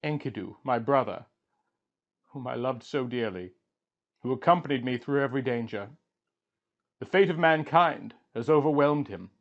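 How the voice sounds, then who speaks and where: A man's voice: mid-range voice, expressive, normal speed, pauses often, highs cut off, lightly acted. A man voicing an anguished, proud wandering king in an impassioned lament, as part of a storytelling reading. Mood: mournful.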